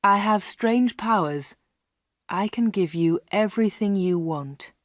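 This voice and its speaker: A woman reading an audiobook, voicing a menacing, charming man who speaks low and slowly. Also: dry recording, clean studio sound, lightly acted. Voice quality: low, slow and quiet.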